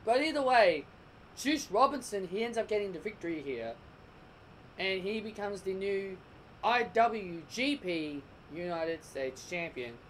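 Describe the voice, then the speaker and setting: A man giving a casual, rambling wrestling review, often restarting his sentences, his voice croaking. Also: sits high, animated, unhurried, slight background noise, poor audio. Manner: rambling, restarts and corrects himself.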